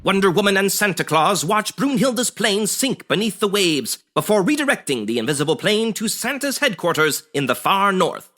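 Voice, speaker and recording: A man playing a dramatic, excitable narrator of an old-time radio adventure, theatrical and heightened. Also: high voice, normal speed, hardly any pauses, exaggerated voice. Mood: storybook wonder. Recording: music behind the voice.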